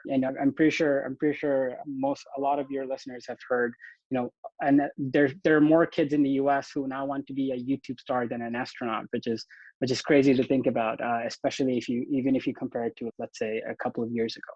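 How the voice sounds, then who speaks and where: A man's voice: mid-range voice, warm tone, no room sound, highs cut off. A young man from Bangladesh, a venture investor, talking casually in a podcast interview and often restarting his phrases.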